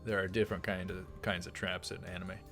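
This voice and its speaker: A man with a fairly low voice hosts a visual novel let's play over background music. He is informal and a little halting in his asides. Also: flat delivery, a bit bright. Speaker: let's play host and reader. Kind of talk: visual novel read-aloud with casual asides.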